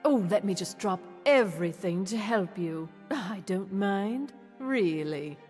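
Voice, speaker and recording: Snooty game shopkeeper, very slow sarcastic drawl, long echo, faint music behind. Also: female voice, mid-range voice, lively intonation, darkish tone. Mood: sarcastic disdain. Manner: sarcastic, disdainful, drawn-out delivery. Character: haughty sarcastic woman clothing shopkeeper.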